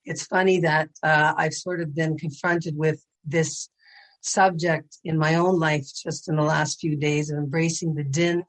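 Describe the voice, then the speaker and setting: Raspy woman teaching over zoom, pretty low voice, gentle and earnest. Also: low-quality recording. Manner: earnest and gentle, with frequent ums. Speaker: Torah educator and speaker. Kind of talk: spiritual class over video call. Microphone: video call.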